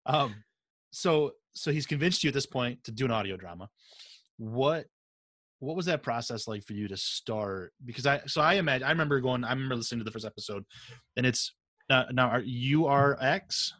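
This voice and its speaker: A man's voice: medium pitch, a little fast, a bit bright, dry recording. A man interviewing casually as a podcast host, with an enthusiastic, effusive manner.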